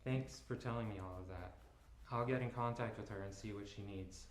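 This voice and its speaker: Middle-aged father, read by a man: calm, polite, measured; echoey room. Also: on the low side, a little hiss or hum, natural voice.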